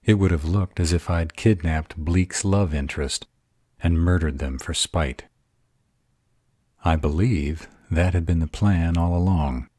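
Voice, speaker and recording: A man playing an arrogant, calculating vampire in an audio roleplay, measured and formal. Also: low voice, dark tone, no echo, studio quality. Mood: composed. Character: arrogant male vampire, composed, calculating strategist.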